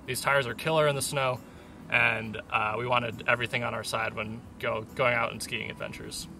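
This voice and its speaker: A man's voice: medium pitch, flat delivery, moderate pace, creaky, music in the background. A young man with a North American accent giving a casual tour to camera as the owner of a van. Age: young adult. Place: in and around a camper van in the woods.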